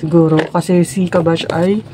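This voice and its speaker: A woman's voice: fairly low pitch, steady tone, normal speed, background noise. A Filipino woman chatting informally to her viewers in a casual unboxing and product demo vlog. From Philippines. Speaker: vlogger.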